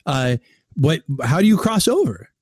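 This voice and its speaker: A man with a fairly high voice hosts a casual interview. He is wry and chatty, wandering through his questions, and the sound around him is very dry. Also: very animated, measured pace, a little mellow, dry recording. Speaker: interviewer, host.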